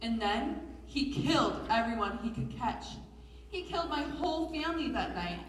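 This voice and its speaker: A woman's voice: a little high, lively intonation, normal speed, a little hiss or hum, close to an everyday voice. A woman playing a grieving dwarf miner, earnestly telling of a lost home in readers theatre. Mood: grave. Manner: earnest, storytelling. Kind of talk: readers theatre stage performance. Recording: distant mic in echoey hall.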